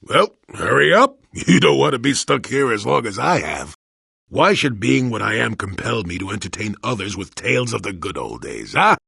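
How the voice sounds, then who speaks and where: A man playing a cantankerous, wry old hermit in a video game, with a raspy, gravelly voice and a crotchety, theatrical delivery. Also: on the low side, very animated, moderate pace, pushed and bright, no echo, studio quality, heavily acted. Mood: gruff, teasing. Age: older. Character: cantankerous wry old male hermit, a Force-sensitive former Jedi. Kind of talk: video game character voice acting.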